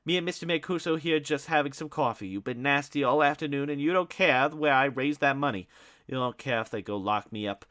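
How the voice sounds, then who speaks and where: A man's voice: fairly low pitch, expressive, fairly quick, sharp tone, clean tone. A man voicing a tearful, quarrelsome older mother, whining and screeching. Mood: screaming. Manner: whiny, screeching scolding.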